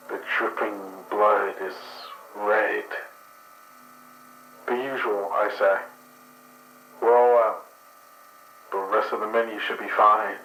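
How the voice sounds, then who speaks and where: A man's voice: lowish voice, sharp tone. Figure: man playing a crude, irritable skunk-man. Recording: echoey, faint hum. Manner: profane and sardonic. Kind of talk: actual play character voice.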